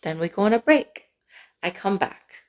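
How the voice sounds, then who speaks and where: A woman vlogger chatting casually and playfully through a personal story, sounding a little sleep-deprived, with animated asides. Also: medium pitch. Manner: playful, animated asides with giggles and drawn-out words.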